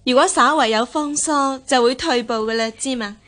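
Young noble daughter, high-pitched, self-assured, gently instructive, Hong Kong Cantonese, period radio play. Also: female voice, moderate pace. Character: confident young gentlewoman, martial-minded daughter of official's household. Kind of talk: radio drama acting in historical costume piece.

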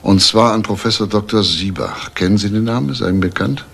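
An actor playing a calm, persistent police detective in a crime drama, speaking in a matter-of-fact, official way. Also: male voice, on the low side, a little fast, gravelly, slightly rough, heavily acted. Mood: firm, insistent. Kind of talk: German TV crime drama acting.

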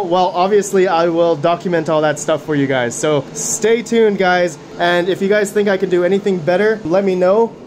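A man's voice: high-pitched, darkish tone, slight background noise. A man hosting a casual video about his mining rigs, chatty and upbeat, talking to viewers while he is losing his voice in a condo room. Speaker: mining channel host. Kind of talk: casual hands-on video commentary.

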